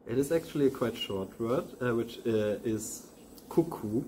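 A man in his twenties from Germany, a summer school participant, answering interview questions with hesitant pauses in a large open hall. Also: medium pitch, warm tone, a little hiss or hum. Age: 20s. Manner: hesitant, with uh fillers.

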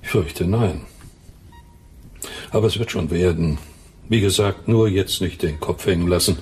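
An actor in a German radio play, playing a calm, reassuring hospital doctor on night shift. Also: male voice, sits low, measured pace, slight background noise. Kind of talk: German radio drama acting.

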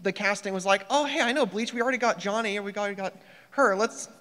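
A man's voice: high-pitched, fast, bright, forceful tone. A man asking a casual, wordy question as an eager audience member. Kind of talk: casual fan question at a microphone. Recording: echoey convention hall sound.

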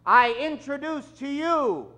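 A man's voice: extremely high pitch, animated, slow, pushed and bright. A middle-aged man hosting a live improvised radio show on a theater stage, in a theatrical, courteous old-time announcer style. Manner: theatrical, hesitant, courteous showman patter. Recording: dull, lo-fi live stage recording.

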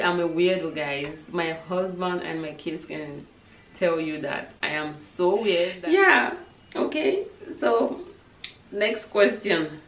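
Low-pitched woman, roomy distant recording. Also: fairly bright tone. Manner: informal, addresses viewers as guys. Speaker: family lifestyle vlogger. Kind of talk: casual chat to camera, answering tag questions. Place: indoors, small set with wooden backdrop. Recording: roomy, distant mic, background noise.

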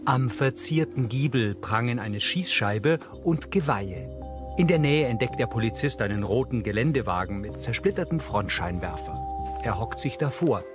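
A man's voice: mid-range voice, animated, normal speed, music in the background. A man narrating scenes in terse, neutral sentences as a scripted audio description. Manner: neutral, matter-of-fact.

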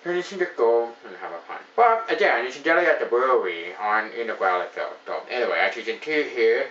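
A man's voice: medium pitch, expressive, a little fast, fairly bright tone, reverberant, recorded from a distance, dull audio. A man in his thirties from Ontario, reviewing a beer at home in a casual, rambling way, full of "you know" fillers. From Ontario, Canada. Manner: rambling, enthusiastic, many fillers. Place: small home room with shelves of beer.

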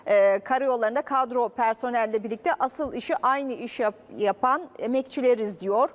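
Woman, high-pitched voice, TV presenter, live studio interview, reading out viewer questions. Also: normal speed. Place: large indoor TV studio.

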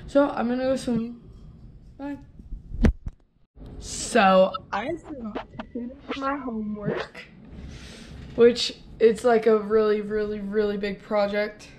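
A woman's voice: fairly high pitch, steady tone, takes it slowly, long pauses, background noise. A teenage girl vlogging casually to a handheld camera, rambling with fillers and trailing sentences. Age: teens. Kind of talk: casual vlog chat. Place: inside a car, then a house. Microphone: camera held at arm's length.

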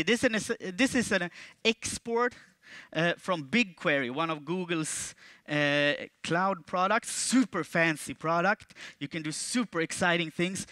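A man in his thirties with a Swedish accent, giving a jokey, animated conference talk on a stage in a large auditorium. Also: no echo. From Sweden. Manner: playful, jokey, with animated jumps in pitch and volume. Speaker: conference speaker. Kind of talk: stage presentation.

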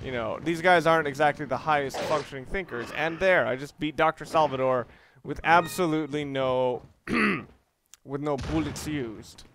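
Higher-voiced man commentating over noisy gameplay. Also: moderate pace, a bit bright. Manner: explanatory, rambling, mildly profane. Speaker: gamer, let's play host. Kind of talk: casual gameplay commentary with strategy explanation. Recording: noisy, game ambience behind voice. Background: video game sounds.

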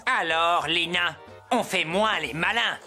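A woman's voice: lowish voice, slow pace. A woman voicing a jealous, grumpy pet cat who grumbles slyly and theatrically in a children's cartoon. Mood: sly, gloating. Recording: music behind the voice.

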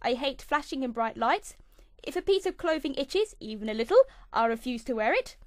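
A young woman with an English accent talks through a scripted list about herself. Her voice sits high and swings up and down, bright one moment and then a low mutter the next. It is recorded dry and close on a home computer mic. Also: lively intonation, normal speed, dry recording. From England. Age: 20s. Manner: chirpy, bouncy delivery with sudden dips into a low mutter. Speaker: channel host. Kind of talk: scripted fifty-facts vlog.

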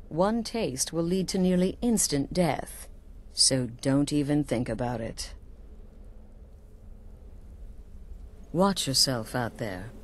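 An actress playing a cool, scheming assassin leader in a video game, measured, confident and conspiratorial. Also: female voice, low-pitched, darkish tone. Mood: instructive, cool. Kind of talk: video game character dialogue.

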